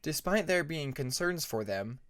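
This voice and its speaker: A man narrating a story from a script as an audiobook, reading deliberately phrase by phrase. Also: a little high, animated, a bit bright.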